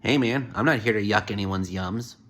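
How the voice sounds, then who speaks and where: Sarcastic deadpan commentator guy. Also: lowish voice, normal speed. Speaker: reaction commentator. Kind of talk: comedic reaction commentary.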